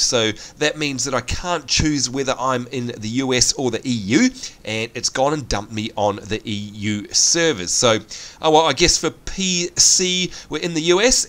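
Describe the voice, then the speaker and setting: An Australian man with a congested, sniffly voice from hay fever, giving chatty gameplay commentary and viewer shout-outs. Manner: chatty, upbeat, lively pitch swings. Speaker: gaming channel host.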